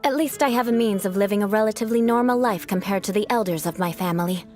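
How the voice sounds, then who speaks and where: A proud young noblewoman knight, voiced by an actress, speaks with formal, measured poise. Her voice sits at an ordinary middle pitch, restrained and composed. Faint game music plays beneath her. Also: darkish tone, close to an everyday voice. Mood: somber. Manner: formal, measured aristocratic speech. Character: proud aristocratic young female knight, cool and reserved. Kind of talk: video game dialogue.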